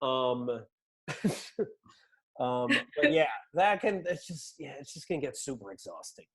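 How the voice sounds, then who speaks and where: A man's voice: mid-range voice, animated, slow, husky. A middle-aged man with an American accent in a casual, reflective conversation as the interviewer, thinking aloud informally.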